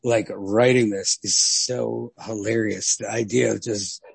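Guy chatting about books, gravelly voice, sweary and animated, really dry sound. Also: fairly low pitch, a little slow, no echo. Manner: animated, heavy casual swearing. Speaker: podcast co-host. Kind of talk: casual literary discussion.

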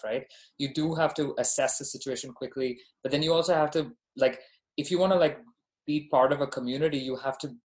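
Podcast guest, male founder raised in Dubai. Medium-pitched voice, casual delivery, earnest and emphatic. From born in Bahrain, grew up in Dubai. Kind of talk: casual conversational interview.

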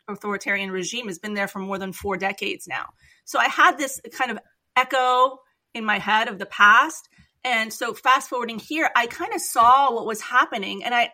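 An Iranian-born woman physician, a guest on a podcast, speaking earnestly and in measured phrases. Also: fairly high pitch, sharp tone. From Iran. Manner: earnest, measured, with light laughs. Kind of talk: reflective interview talk.

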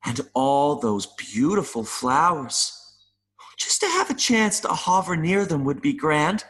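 Man voicing a slow-talking, gentle, wistful mayfly. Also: on the high side, lively intonation. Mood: wistful longing. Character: gentle, wistful mayfly longing to see the world. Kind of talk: audio play acting.

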